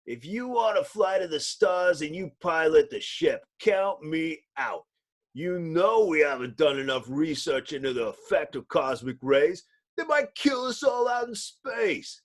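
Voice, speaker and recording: Man as gruff male pilot, proud, hot-tempered, blustering, forceful, animated, comic-book drama. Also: fairly high pitch, measured pace. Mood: angry arguing.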